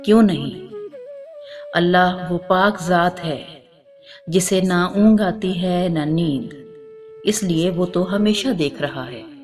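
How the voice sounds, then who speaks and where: Woman voicing a slow, solemn old holy hermit. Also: on the low side, darkish tone. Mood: solemn, assured. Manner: solemn, admonishing. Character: pious, stern old male hermit saint. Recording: music under the voice.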